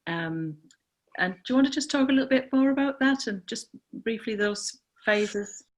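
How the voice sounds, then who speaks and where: A woman's voice: a little high, lively intonation, moderate pace. A woman interviewer opening the conversation with polite, somewhat hesitant questions and fillers.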